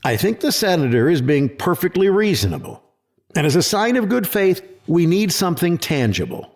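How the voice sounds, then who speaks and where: Skeptical official, kinda high voice, very animated, assertive, audio drama. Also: male voice, normal speed.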